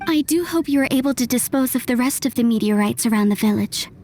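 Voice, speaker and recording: A woman voicing a kindly female villager in a video game who tends to the sick, speaking gently and politely. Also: on the high side, fast talker, background noise, close to an everyday voice. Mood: grateful. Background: game music.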